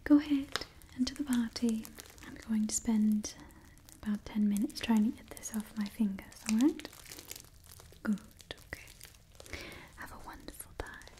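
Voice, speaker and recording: A woman in her twenties doing a whispered ASMR roleplay as a witch hosting a party, speaking gently and deliberately into a close microphone, softly repeating words. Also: a little high, slight background noise. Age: 20s. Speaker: ASMR performer. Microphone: close microphone, near the camera.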